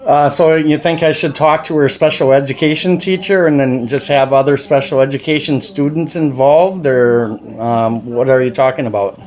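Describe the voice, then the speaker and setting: A man's voice: medium pitch, moderate pace, few pauses, a little mellow, low-quality recording. A man, an amateur radio operator, talking casually in a radio exchange.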